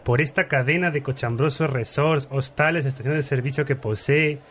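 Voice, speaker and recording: A man's voice: mid-range voice, moderate pace, fairly bright tone, a little hiss or hum, natural voice. A man playing a pompous, gossipy viscount with formal, ornate speech in a parody radio soap opera. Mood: conspiratorial. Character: pompous, gossipy male viscount, government right-hand man.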